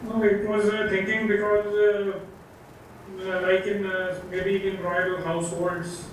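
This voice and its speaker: A man, a student asking questions in a halting, tentative way. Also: sits high, monotone. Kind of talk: hesitant question and answer. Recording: echoey room, distant mic, background noise.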